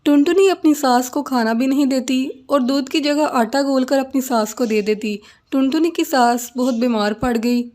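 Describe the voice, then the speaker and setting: A woman's voice: high-pitched, normal speed, a little mellow. A woman narrating a scripted children's cartoon story.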